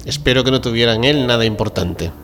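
A man's voice: medium pitch, quick pace, lightly acted. A man playing a courteous, fussy, deferential ship's doctor in a radio drama, speaking formally and politely. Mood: polite, apologetic. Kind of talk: amateur radio drama reading.

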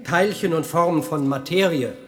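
A man acting a haunted physicist in a German radio play, speaking in an introspective, halting and at times declamatory manner. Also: on the high side, crowd noise, lightly acted. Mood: lecturing. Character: troubled male physicist, haunted and brooding, lecturing on particles.

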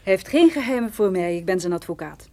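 A woman's voice: medium pitch, expressive, fast talker, mellow, lightly acted. An actress playing a devoted, protective woman lawyer in a radio drama. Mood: assertive. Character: devoted, protective female lawyer, loyal to detective.

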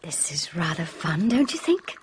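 An actress playing a forthright, independent young woman in a radio drama, brisk and probing yet sympathetic. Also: lowish voice, very animated, background noise. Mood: friendly, probing. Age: young adult.

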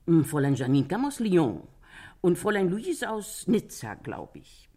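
An older landlady in a radio crime play, her voice low and husky. She gossips with sympathy, swinging widely in pitch as she retells what people said. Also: sing-song, moderate pace, dark tone. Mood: gossipy, reflective. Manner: chatty storytelling, quotes others animatedly. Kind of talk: German radio crime drama acting.

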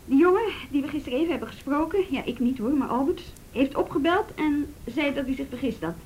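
A policewoman with a clearly high voice in a radio play. She is conscientious and plain-spoken, with a wry edge. The recording has audible noise and rumble. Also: expressive, brisk, darkish tone, poor audio. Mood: uneasy. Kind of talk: Dutch radio drama acting.

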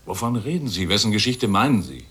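A man's voice: on the low side, animated, brisk, fairly bright tone. An actor playing a brusque, formal male official in a German radio drama. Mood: brusque, impatient. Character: brusque male official closing a police case.

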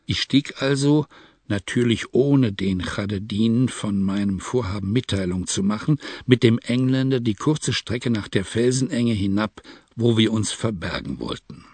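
A man narrating an adventure story in a measured, calm reading, close to the microphone. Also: fairly low pitch, breathy edge, no echo. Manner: measured, hushed at tense moments.

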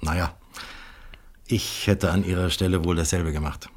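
Male actor as Dutch police inspector, radio crime drama, lowish pitch, courteous, measured, shrewd, formal. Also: fairly even intonation, a little hiss or hum, close to an everyday voice. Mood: smooth, courteous. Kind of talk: 1970s German radio play acting.

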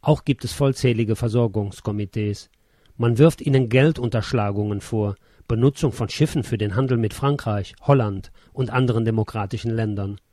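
A man's voice: on the low side, moderate pace, dark tone, no room sound. Sober male memoir narrator, dry studio sound. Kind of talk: scripted first-person narration.

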